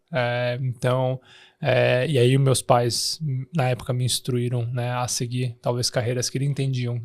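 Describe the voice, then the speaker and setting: A man's voice: mid-range voice, a little mellow. A man from Taiwan who speaks with an Araraquara Brazilian Portuguese accent, a founder chatting casually as a guest and tagging his sentences with "né" amid frequent restarts. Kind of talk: casual interview chat.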